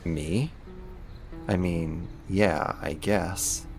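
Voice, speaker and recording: A man acting in an ASMR roleplay as a caring, wry young supervillain roommate, speaking softly and conversationally with hesitant pauses and gentle teasing. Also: deep voice, creaky, soft background music, heavily acted. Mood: casual, wry.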